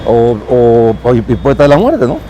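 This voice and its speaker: Mellow-voiced guy on the street, lots of ums and restarts, a bit of traffic hiss. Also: mid-range voice, very animated, moderate pace, muffled recording. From Mexico. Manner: hesitant, many fillers and restarts. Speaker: consul. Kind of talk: street interview. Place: outdoors on a city street.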